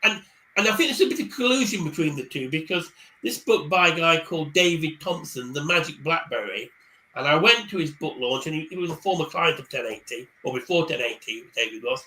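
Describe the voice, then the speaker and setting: A man speaking over a video call, his voice a little high and very bright. He talks casually about books, stumbling and repeating words, then pushes ahead quickly. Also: lively intonation, poor audio. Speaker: career coach guest speaker. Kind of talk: casual chat on a live online panel.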